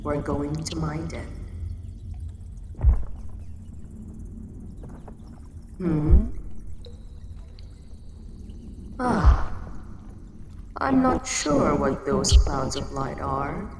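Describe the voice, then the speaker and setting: Audio drama role, woman performer: giant kaiju queen, female, ancient and composed; deep register, very slow measured delivery, big echoing cave sound. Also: expressive, full character voice. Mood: calm, commanding. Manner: measured, matter-of-fact, slightly formal speech. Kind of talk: scripted roleplay audio drama monologue. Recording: very echoey cavern-like reverb, loud background noise.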